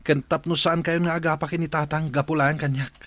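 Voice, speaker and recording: A hurt, dutiful young Filipino son, acted by a man for radio drama. His voice sits fairly high and stays level, earnest and plaintive. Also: level tone, brisk, a bit bright, no echo, close to an everyday voice. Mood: sad, resigned.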